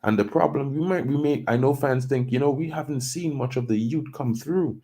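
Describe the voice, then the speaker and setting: A man's voice: mid-range voice, normal speed, mellow, slightly rough. A man from Trinidad and Tobago hosting a casual football news show, chatty and loose, thinking aloud with plenty of "you know".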